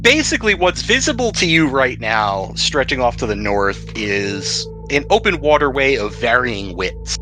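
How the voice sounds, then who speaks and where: A man's voice: mid-range voice, big pitch swings, normal speed, few pauses. A man narrating as game master of a tabletop game among friends, informal and jokey, swearing casually. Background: music.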